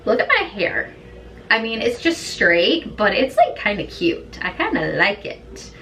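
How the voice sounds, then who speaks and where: A woman beauty vlogger with a hoarse, raspy voice, chatting in an easygoing, rambling way while doing her makeup at home. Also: medium pitch, wildly swooping pitch, a little slow, fairly bright tone, distant mic, a little hiss or hum. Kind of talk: chatty get-ready-with-me talk.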